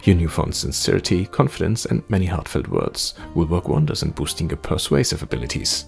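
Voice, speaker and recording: A man's voice: a bass voice, big pitch swings, fairly quick, gravelly, faint music behind. A man playing a game, reading its text aloud expressively and adding casual commentary. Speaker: gamer playing through a demo.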